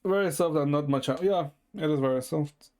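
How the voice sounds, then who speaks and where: A man's voice: fairly high pitch, normal speed, darkish tone. A man from Ecuador chatting casually to his live stream viewers in a rambling, informal way, swearing freely. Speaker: livestreamer. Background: music.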